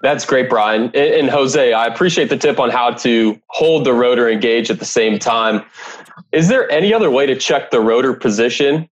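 A man's voice: mid-range voice, normal speed, muffled recording. A man hosting a podcast interview, asking questions in a polite, encouraging way. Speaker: podcast host.

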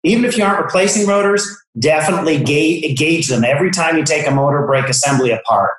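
A man's voice: on the high side, fast talker. A man giving a technical demonstration as a product expert, explaining things step by step. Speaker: product expert from an encoder manufacturer. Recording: very echoey room.

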